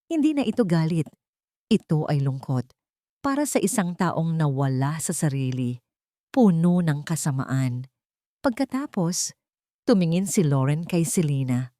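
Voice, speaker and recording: A woman's voice: low voice, mellow, dry recording, studio quality. A woman with a Filipino accent reading scripted, dramatic story narration as an audiobook narrator.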